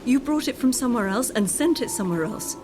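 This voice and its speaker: An actress playing a fed-up, headstrong scientist's wife in a radio drama, sardonic and insistent in manner. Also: female voice, on the high side, lively intonation, fast talker, over music, natural voice. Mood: determined.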